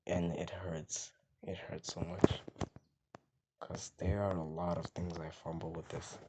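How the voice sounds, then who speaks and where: Deep gravelly young man, noisy recording. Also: level tone, a little slow. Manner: rambling, soft and mumbled at times, hard to hear. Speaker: gaming vlogger. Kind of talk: casual rambling talk to camera. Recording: loud background noise with mouse clicks.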